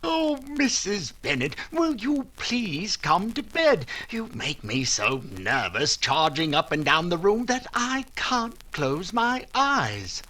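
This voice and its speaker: An actor playing a weary, nagged middle-aged husband in 1940s radio comedy style. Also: male voice, high-pitched, big pitch swings, slow, hardly any pauses, bright, forceful tone, heavily acted, low-quality recording. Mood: weary, irritated.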